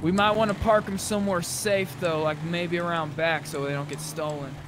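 Higher-voiced man chatting in a roleplay game. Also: steady tone, normal speed, background noise. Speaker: roleplay gamer. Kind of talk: casual in-character game chat.

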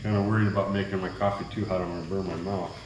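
Middle-aged man, rambling vlog chat, lowish voice, echoey cement kitchen, faint crickets. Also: flat delivery, very fast. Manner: easygoing, rambling, talks to viewers while cooking. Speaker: vlogger. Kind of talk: casual cooking vlog chat. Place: small bare cement-walled kitchen. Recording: echoey room, faint background noise. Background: crickets at night.

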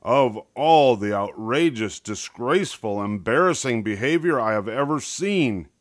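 A man voicing a blustering father bear in a children's storybook, with a loud booming manner. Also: expressive, measured pace, no room sound, studio quality, lightly acted. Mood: outraged.

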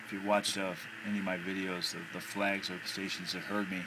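Low-voiced American man, noisy recording. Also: flat delivery, brisk. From United States. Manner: hesitant, thinking aloud. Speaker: amateur radio hobbyist. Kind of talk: casual off-the-cuff demonstration commentary. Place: indoors at a home radio desk. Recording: noisy handheld home recording.

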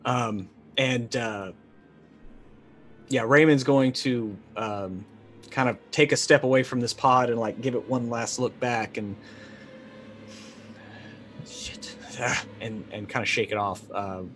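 Halting, casual man thinking aloud. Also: medium pitch, fairly bright tone, soft background music. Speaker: tabletop game player. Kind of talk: casual improvised narration of his character's actions. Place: small indoor room, remote stream.

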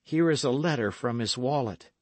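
A man voicing a bold, honest and courteous young gentleman of old England in an audiobook, speaking earnestly and formally. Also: medium pitch, animated, normal speed, no room sound, lightly acted. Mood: frank. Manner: earnest, formal archaic speech.

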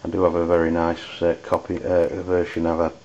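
A man with an English accent giving an unscripted product review in a hesitant, halting way. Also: low voice, monotone, a little mellow. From United Kingdom. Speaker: amateur radio reviewer. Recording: dull lo-fi audio with background noise.

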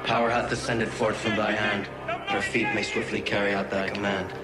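Solemn low man reciting over loud music. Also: little pitch movement, moderate pace. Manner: solemn, rhyming verse. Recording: echoey worship track with music.

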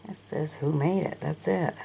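Low gravelly woman, slow and admiring. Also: dark tone, a little hiss or hum. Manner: chatty, admiring, asks the viewer questions. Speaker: toy collector showing auction finds. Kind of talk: casual show-and-tell narration. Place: indoors at a sunny windowsill.

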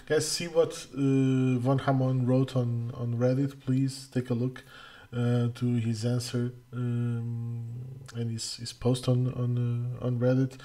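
Slow, mellow man. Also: medium pitch, level tone. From Portugal. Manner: halting, many fillers and restarts. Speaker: community manager. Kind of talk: casual livestream Q&A. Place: home room, indoors. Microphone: headset.

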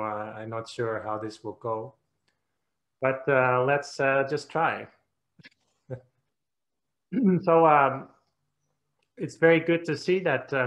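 A man, a Buddhist monk giving an online Dharma talk over a video call, gentle and hesitant, with many fillers. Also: medium pitch. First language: Dutch. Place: quiet room at home. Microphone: webcam on video call.

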